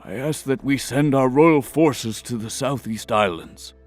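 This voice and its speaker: Archmage male actor for fantasy audio drama: mid-pitched, deferential, grave, hesitant, faint music underneath. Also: measured pace, lightly acted. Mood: grave, pleading. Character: earnest male archmage, deferential royal adviser.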